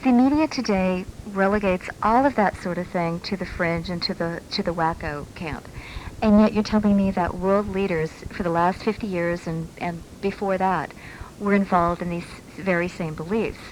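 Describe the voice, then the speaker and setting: A woman's voice: fairly low pitch, normal speed, darkish tone. Woman hosting on faintly noisy shortwave radio. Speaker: radio talk show host. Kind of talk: conversational radio interview. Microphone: radio broadcast.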